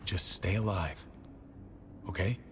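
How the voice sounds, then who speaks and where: A man playing a stern, protective soldier in a video game drama, measured, grave and terse. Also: low-pitched, a little hiss or hum. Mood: resigned, urgent. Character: stern male soldier, protective but resigned. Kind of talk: naturalistic dramatic game acting. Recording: faint music behind the voice.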